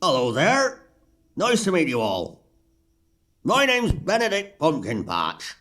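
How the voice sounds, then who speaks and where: A man's voice: on the high side, wildly swooping pitch, slow pace, a bit bright, heavily acted. A man playing a chatty, pun-loving farmer in an audio pantomime, with a hammy comic manner. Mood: showy.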